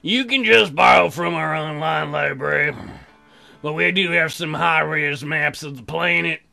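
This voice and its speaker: Man doing a slow, humming, folksy colonist guy. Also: fairly high pitch, creaky, sharp tone, exaggerated voice. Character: folksy, awkward male colonist librarian, hemming and humming.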